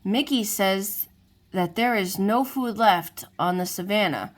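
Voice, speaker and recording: Ginger is a kind and helpful young giraffe from a children's storybook, voiced by a woman reading aloud. She talks in a bright, eager way, like a good friend always ready to help, in a middle-pitched voice. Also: slow. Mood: friendly.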